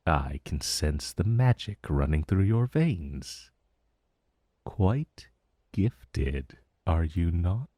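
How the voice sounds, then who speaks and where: A man's voice: deep voice, sing-song, very slow, mellow, no echo, clean studio sound, heavily acted. A man voicing an ancient dragon in a fantasy roleplay monologue, urbane and amused, savoring his words with veiled menace. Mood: amused, impressed. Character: ancient male dragon, urbane, intrigued, vaguely menacing familiar.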